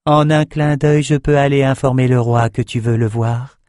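A man voicing a female spirit who speaks quickly and lightly. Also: mid-range voice, steady tone, darkish tone, clean tone, dry recording, studio quality, voice pushed up, lightly acted. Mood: eager. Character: female magician spirit, eager helper.

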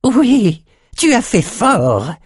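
Guy putting on a smug evil wizard for a storybook, taunting, theatrical, pitched up from his normal voice. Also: big pitch swings, a little slow, no room sound, clean studio sound. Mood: jubilant, mocking. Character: gleeful, menacing male sorcerer, mocking and smug.

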